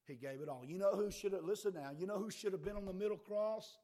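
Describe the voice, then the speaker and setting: A man preaching a fiery sermon, impassioned and swinging between quiet and loud, his voice a little worn. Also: sits high, quick pace.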